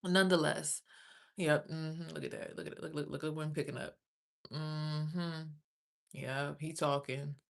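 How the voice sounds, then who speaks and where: A woman's voice: sits low, steady tone, unhurried. A young woman, chatting loosely on a livestream, rambling about spiritual topics and swearing freely. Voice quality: raspy. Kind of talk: casual livestream chat.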